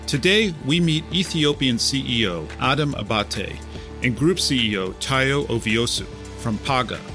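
A man hosting a podcast, reading scripted narration in a clear, even, polished way and putting questions to his guest. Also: mid-range voice, a little slow, soft background music. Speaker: podcast host.

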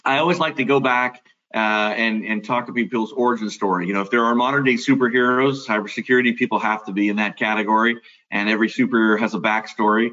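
A man's voice: fairly low pitch, steady tone, fast talker, bright, forceful tone, highs cut off. A man hosting a podcast, talking in a chatty, conversational way with fillers. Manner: chatty, friendly introductions with fillers. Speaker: podcast host.

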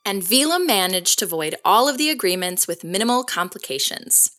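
A woman acting as a composed narrator guiding listeners through an audio drama case file. Her mid-pitched voice is bright and crisp-edged, with animated, varied intonation in a scripted storytelling style. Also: moderate pace, lightly acted.

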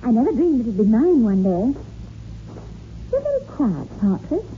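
Very animated middle-aged lady. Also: a little high, measured pace. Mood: wistful, puzzled. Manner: expressive, probing. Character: middle-aged woman, new mistress of old house, curious, skeptical. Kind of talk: 1960s radio drama acting. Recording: muffled, noisy old radio recording.

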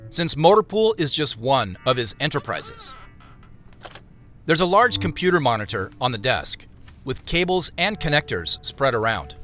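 A man playing an observant narrator in a scripted audio drama, with a measured, descriptive delivery. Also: medium pitch, sharp tone. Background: music.

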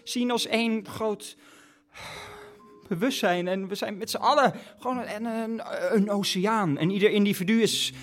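A man's voice: sits high, moderate pace, soft background music, natural voice. An actor playing a spaced-out man rambling dreamily and insistently in a comic live radio play. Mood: awed, rambling. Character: rambling, spaced-out man awed by his revelations. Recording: live theatre stage recording.

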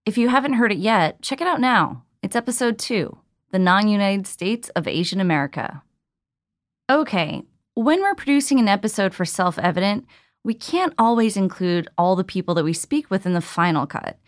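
A woman's voice: medium pitch, animated, normal speed, no echo, studio quality. A woman hosting a podcast, giving a friendly, conversational scripted intro and asking interview questions. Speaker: podcast host.

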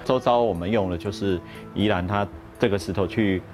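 Low-voiced man talking fast over loud music. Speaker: artist interviewee. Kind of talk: casual interview speech.

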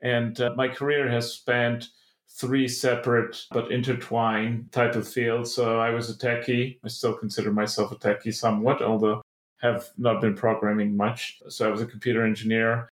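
A middle-aged Czech-born man, a private equity partner, giving measured, explanatory interview answers with some restarts. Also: mid-range voice, flat delivery, echoey room. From Czech Republic.

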